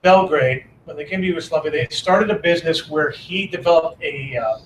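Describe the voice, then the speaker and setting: A man's voice: fairly high pitch, fairly quick, a little hiss or hum. A middle-aged man moderating a public talk, chatty and joking with the audience. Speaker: moderator, interviewer. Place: lecture hall before an audience. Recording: roomy hall, from a distance.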